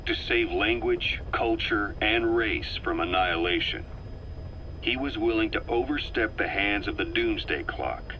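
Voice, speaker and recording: An actor playing a grave middle-aged intelligence operative in a video game, delivering a measured, deliberate, serious briefing. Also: male voice, lowish voice, pushed and bright, muffled recording, slight background noise. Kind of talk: dramatic scripted briefing narration.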